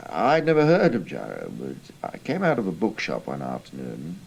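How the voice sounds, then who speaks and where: A man's voice: a bass voice, huge pitch swings, moderate pace, creaky, slightly rough, full character voice. An actor playing an idealistic, indignant young Cambridge man in a radio drama, earnest and hesitant, with an English accent. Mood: reflective. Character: idealistic, indignant young British Cambridge student turned spy.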